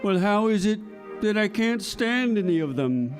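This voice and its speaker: A man's voice: sits high, animated, a little slow, faint music behind. A man playing a vain, self-absorbed young prince in a children's audio play, pompous and entitled. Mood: pompous, choosy. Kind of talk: children's audio theater acting.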